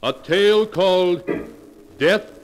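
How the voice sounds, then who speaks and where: An actor playing a solemn, ominous host who narrates horror tales in 1940s radio style. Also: male voice, high-pitched, slow pace. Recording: echoing, faintly hissy 1940s radio recording.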